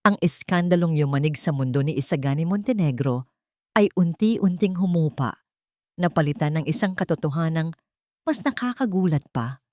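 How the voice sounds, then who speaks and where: A woman delivering dramatic scripted narration of a romance story with deliberate, emotive phrasing. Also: sits low, expressive, mellow, no room sound, studio quality.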